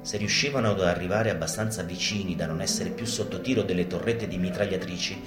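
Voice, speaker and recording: Roomy-sounding man performing a deadpan stage monologue. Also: low voice, fast. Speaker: stage performer in a live radio theatre show. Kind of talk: theatrical monologue, mock-instructional lecture to an audience. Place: live performance space, roomy. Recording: roomy live stage recording with guitar music. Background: live guitar music.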